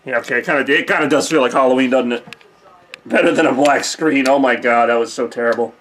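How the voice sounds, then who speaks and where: A young man casually hosting a live holiday stream from a decorated room at home, joking and thinking out loud with lots of fillers, recorded on a camcorder mic. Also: mid-range voice, fast talker, slight background noise. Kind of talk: casual live-stream chat reading viewer comments.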